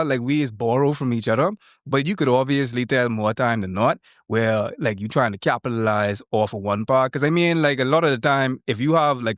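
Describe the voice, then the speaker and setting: A man's voice: mid-range voice, no echo, clean studio sound. A young man with a thick Bahamian accent, a content creator, chatting on a podcast in a boastful, joking way full of slang. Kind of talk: casual podcast chat.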